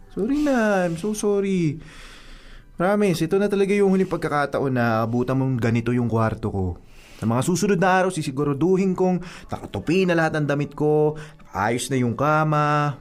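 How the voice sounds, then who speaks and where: Actor as whiny young Filipino boyfriend, very animated. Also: male voice, a little high, moderate pace, close to an everyday voice. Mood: pleading. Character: apologetic, exasperated young boyfriend. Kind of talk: Filipino radio drama acting.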